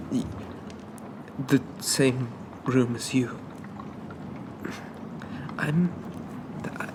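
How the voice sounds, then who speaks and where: A man playing a shy, old-fashioned undead zombie gentleman in an ASMR roleplay, speaking in a soft, breathy half-whisper with hesitant, quaintly polite phrasing. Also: mid-range voice. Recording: constant loud background noise.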